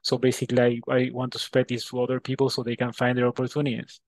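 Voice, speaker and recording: A man from Peru with a Peruvian Spanish accent, a native Spanish speaker answering interview questions in a halting way, often repeating words. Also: mid-range voice, monotone, dry recording. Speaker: interview guest.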